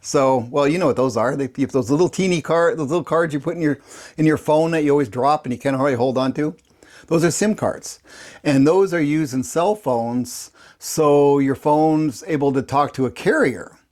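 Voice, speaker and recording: A man's voice: fairly high pitch, normal speed, darkish tone. A man hosting a video, giving a casual explainer in a plain-spoken, folksy way and repeating points for emphasis. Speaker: host of a prepping channel, ham radio operator. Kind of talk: casual explainer talk to viewers.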